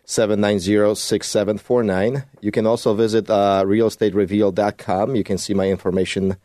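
A man with a Polish accent speaks in a mellow, fairly low voice. He talks conversationally as a native Polish speaker guesting on radio, recorded close and dry. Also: little pitch movement, fairly quick, no room sound. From Poland. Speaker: real estate agent, studio guest. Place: radio studio.

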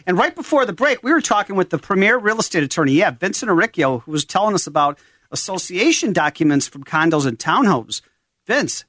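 A man's voice: high-pitched, sing-song, a little fast, sharp tone, no room sound. A man hosting a radio talk show, upbeat and enthusiastic, speaking into a studio mic.

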